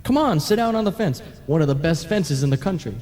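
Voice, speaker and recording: A man's voice: on the high side, expressive, a little fast, darkish tone, lightly acted. Man as a worried friendly ghost, reverberant. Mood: easygoing. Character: anxious, good-natured underachieving male ghost. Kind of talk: old-time radio comedy acting. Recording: reverberant radio play on analogue tape.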